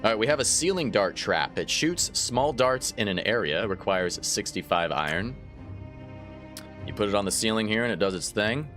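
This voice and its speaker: A man with a lowish voice hosts a let's play, chatting casually to his viewers. His nose is a little stuffy. Quiet game music runs in the background. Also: animated, normal speed. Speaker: gaming channel host. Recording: faint game music behind.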